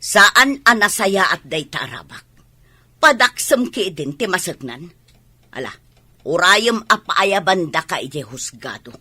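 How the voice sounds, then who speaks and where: An Ilocano-accented woman playing a stern, scolding village mother with a husky, forceful delivery in a radio drama. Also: fairly low pitch, big pitch swings, unhurried, heavily acted.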